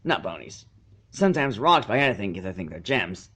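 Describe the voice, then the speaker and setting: A man voicing an earnest, gentle young male dragon who speaks in a careful, restrained, pleading way. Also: lowish voice, very animated, normal speed, breathy edge, voice pushed down, exaggerated voice. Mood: carefully calm.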